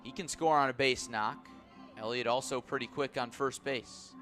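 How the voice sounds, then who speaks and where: A man calling baseball live on the radio as play-by-play announcer, measured between pitches and excitable on big plays. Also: soft background music. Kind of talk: live radio sports commentary.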